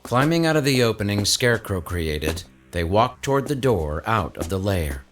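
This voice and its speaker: A man narrates a supernatural audio drama from a script. His voice is on the low side, and soft music plays faintly behind it. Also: big pitch swings, normal speed.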